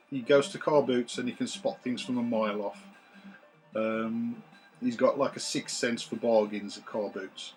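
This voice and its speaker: A man, his voice a bit rough from recovering after losing it, chatting to camera in a relaxed, rambling way with many ums, recorded on a camera's built-in mic in a small room. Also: medium pitch, rough recording. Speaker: retro games collector vlogger. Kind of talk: casual chat to camera. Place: small room full of retro computers.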